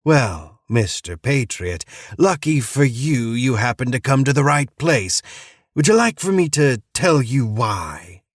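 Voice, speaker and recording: A man's voice: medium pitch, big pitch swings, a little slow, no echo, studio quality, voice pushed up. A man voicing an unhinged male cult preacher who swings between gleeful mockery and menace. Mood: sly. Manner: manic, cackling, mocking sing-song.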